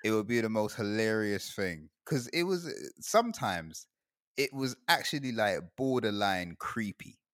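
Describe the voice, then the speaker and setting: A man's voice: on the low side, lively intonation, normal speed, a bit bright, dry recording. A young man from Guyana in casual chat, rambling and laughing often as he talks.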